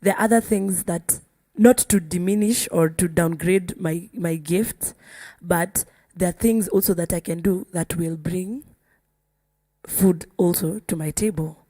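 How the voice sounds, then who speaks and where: Female panelist, Kenyan accent, slightly low relaxed voice, dry close recording, conversational. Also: measured pace, no echo. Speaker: singer panelist. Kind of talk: casual panel discussion.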